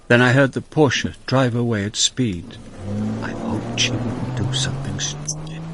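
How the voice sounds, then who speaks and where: Hard-boiled police inspector guy, super husky voice, world-weary and blunt, radio play stuff. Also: medium pitch, fairly bright tone, full character voice. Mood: sombre, reflective. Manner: terse, commanding, hard-boiled. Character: world-weary, blunt male Dutch police inspector narrating his case. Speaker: actor playing a police inspector. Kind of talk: radio drama acting and first-person narration.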